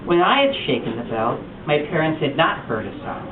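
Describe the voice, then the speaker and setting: Animated man reading to kids, far off. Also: on the high side, a little fast. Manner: animated, expressive storytelling. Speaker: storytime reader. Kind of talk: reading a picture book aloud to children. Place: bookstore storytime. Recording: distant echoey lo-fi room recording with noise.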